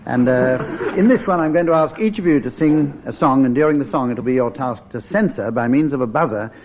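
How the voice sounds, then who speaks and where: A man's voice: medium pitch, brisk. An English man hosting a radio panel game as chairman, with a dry, mock-formal delivery. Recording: dull, noisy old radio recording.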